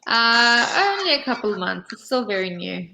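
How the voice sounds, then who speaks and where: A woman's voice: a little high, sing-song, normal speed, gravelly, pushed and bright. A woman with a South African accent, chatting in a friendly way as an English tutor over a video call and asking lots of follow-up questions. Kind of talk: casual conversation practice.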